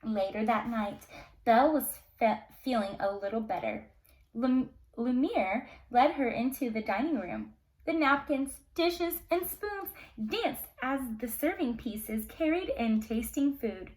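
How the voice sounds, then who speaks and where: A woman's voice: on the high side, unhurried, rough recording. A woman reading a fairy tale aloud to children expressively, with casual asides. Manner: expressive, dramatic storytelling. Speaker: storyteller, teacher.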